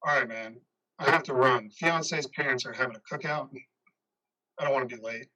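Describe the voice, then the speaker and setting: A man's voice: mid-range voice, moderate pace, pushed and bright, far from the mic, lightly acted, poor audio. A man playing a loyal, awkwardly sympathetic buddy in an amateur play reading, casual and hesitant. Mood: awkward, supportive. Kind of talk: amateur reader's theater over video.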